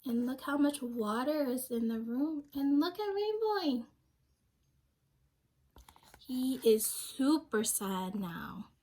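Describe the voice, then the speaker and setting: A young woman reading a picture book aloud to children, pausing to ask them questions and explain things simply. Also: high voice, expressive, darkish tone. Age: young adult. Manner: simple explanatory asides, prompting young listeners.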